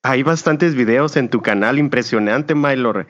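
A man's voice: medium pitch, a little slow. A man hosting a podcast, introducing his guest and asking questions in an upbeat, formal way. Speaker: podcast host. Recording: dull lo-fi sound.

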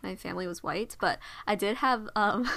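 A woman's voice: mid-range voice, normal speed, gravelly, bright, forceful tone. A young adult woman leading a casual conversation, telling a memory in a chatty, reflective way and asking her friends follow-up questions. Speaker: discussion leader.

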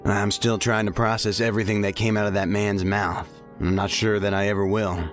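An actor playing a blunt, stubborn special agent in an audio drama. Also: male voice, on the low side, level tone, fairly quick, natural voice. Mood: pensive, puzzled. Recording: faint music behind the voice.

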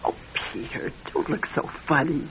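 An actress playing a skeptical, high-strung married woman in an old radio drama, halting and emphatic when upset. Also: a bit bright, lightly acted. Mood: evasive, uneasy. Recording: muffled, noisy vintage radio recording.

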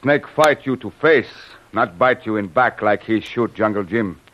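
An actor playing a loyal, solemn manservant who speaks earnest, terse broken English in an old radio serial. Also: male voice, fairly low pitch, a little slow, slight background noise, lightly acted. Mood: grave. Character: loyal, solemn native manservant and sharpshooter, adult male. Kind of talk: 1930s radio serial acting. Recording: muffled vintage radio recording.

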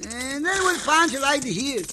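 An actor playing a jolly, simple Mexican sidekick in an old radio western, with a heavy accent and broken English. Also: male voice, extremely high pitch, lively intonation, normal speed, sharp tone, full character voice. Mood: puzzled, playful. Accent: exaggerated Mexican. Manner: broken English, speaks of himself by name. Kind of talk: 1950s radio western acting. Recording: vintage radio recording, faint noise.

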